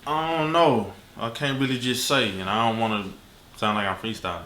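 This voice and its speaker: Man, mid-pitched voice, slangy laid-back hype talk, reaction co-host, echoey room. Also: fairly even intonation, normal speed, bright, forceful tone, slight background noise. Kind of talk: casual hype chat. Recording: roomy, echoey indoor sound.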